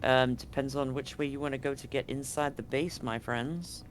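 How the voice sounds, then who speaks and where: A man running a tabletop game as game master, describing scenes slowly and deliberately. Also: medium pitch, steady tone, slight background noise. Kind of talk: narrating a tabletop horror game.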